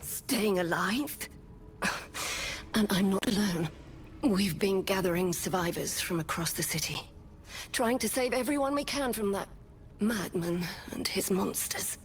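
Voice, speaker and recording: An actress playing a resolute, idealistic leader of rebel survivors in a fantasy video game, speaking with earnest conviction. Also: female voice, medium pitch, unhurried, a little hiss or hum, lightly acted. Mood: guarded, resolute. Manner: earnest, impassioned. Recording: music behind.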